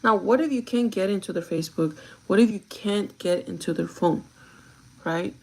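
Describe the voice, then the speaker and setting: A young woman with a gravelly, groggy voice chats casually and directly to her viewers, giving advice in an informal vlog style. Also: mid-range voice, moderate pace, a little mellow, low-quality recording. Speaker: vlogger chatting while doing makeup.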